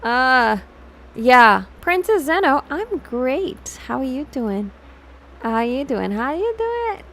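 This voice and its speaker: A woman's voice: high voice, very animated, slow pace, fairly bright tone. A woman chatting casually to viewers as a livestream host, scattered, often repeating words and switching between languages. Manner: switches between English and Hindi mid-talk.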